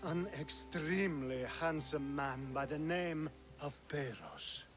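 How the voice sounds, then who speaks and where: A man's voice: a little high, lively intonation, slow pace, faint music behind. An actor playing a sly, charming ancient Greek nobleman in a video game, teasing and theatrical. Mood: flirtatious. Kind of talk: video game cutscene acting.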